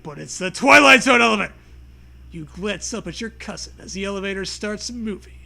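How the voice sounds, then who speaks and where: A man reading a comedy story aloud with deliberate, mock-dramatic narration and bits of banter. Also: high-pitched, lively intonation, creaky, pushed and bright, a little hiss or hum.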